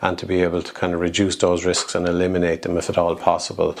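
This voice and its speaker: A man's voice: low-pitched, completely flat delivery, fast, creaky. A man, a care worker, explaining procedures in an interview.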